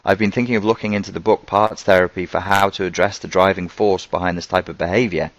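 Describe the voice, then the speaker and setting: A man's voice: sits low, steady tone, fast talker, fairly bright tone, no room sound. A man from Merseyside with a toned-down Scouse accent, hosting a live online Q&A and chatting loosely as he answers viewer questions. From Merseyside, England. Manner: conversational and loose, thinking aloud.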